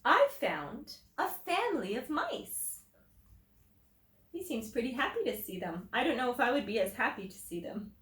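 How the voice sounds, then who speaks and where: A woman teacher reading a picture book aloud to children, explaining simply and deliberately and putting questions to her listeners. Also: fairly high pitch, very animated, fairly bright tone. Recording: roomy echo, distant microphone.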